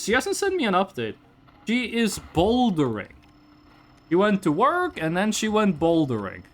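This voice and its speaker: A man's voice: sits high, fairly bright tone. A young man from northern Norway, chatting casually on a live stream while gaming, rambling and restarting his sentences. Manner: rambling, restarts sentences, reads chat aloud.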